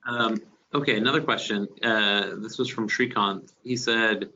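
Man moderating questions, bright and muffled. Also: fairly low pitch, steady tone, a little slow, slight background noise. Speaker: meetup moderator. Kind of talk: reading out audience questions. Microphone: video call. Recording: dull distant web meeting audio.

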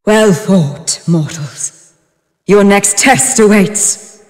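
An actress playing a proud, fierce goddess of war and ice in a fantasy video game, declaiming in a grand, commanding manner. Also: female voice, mid-range voice, drawn-out words. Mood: solemn approval. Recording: long reverberant hall effect.

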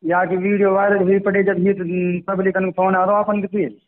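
A man's voice: sits high, flat delivery, brisk. A man with a Mewati accent, a caller chatting casually and inquisitively on a recorded phone call.

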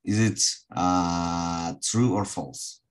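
Guy teaching english online, low voice, slow, lots of halting pauses. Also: fairly even intonation, clean tone. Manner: halting, with long hesitations. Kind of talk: online group lesson, asking pupils questions. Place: online video call.

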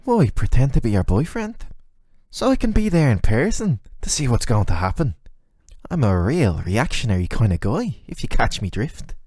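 A man's voice: medium pitch, big pitch swings, normal speed, darkish tone, breathy edge, dry recording. A man voicing a flirty, sardonic, ageless male demon who teases the listener in casual, slangy, intimate roleplay audio. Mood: seductive, tempting.

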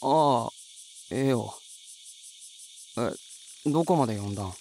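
A man playing a brooding, dry teenage schoolboy with a Mie dialect in a voice comic, low-key in manner. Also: animated, slow pace, darkish tone, slight background noise. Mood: dry, casual. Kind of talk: voice comic acting. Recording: faint music and insects behind.

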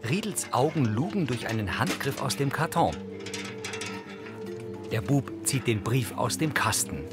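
A man narrating scenes of a TV drama in a measured, matter-of-fact way, as audio description. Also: mid-range voice, big pitch swings, over music.

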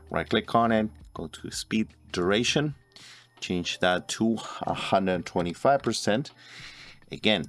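Low-pitched man giving a software tutorial. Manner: step-by-step, instructional. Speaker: video editing tutorial host. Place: indoor room at a computer. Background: music.

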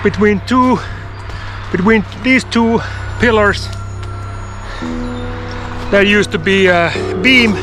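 Slow-talking man vlogging outdoors at arm's length. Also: high-pitched, music in the background. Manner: conversational storytelling with fillers, spooky asides. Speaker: hiking vlogger. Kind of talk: outdoor vlog talking to camera. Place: outdoors in woods by a road and railway. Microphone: handheld camera at arm's length.

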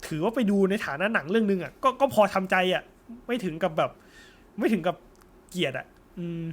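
A man's voice: sits high, animated, fairly quick, fairly bright tone, breathy edge. A young man chatting casually and informally as co-host of an anime discussion. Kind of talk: casual post-film chat.

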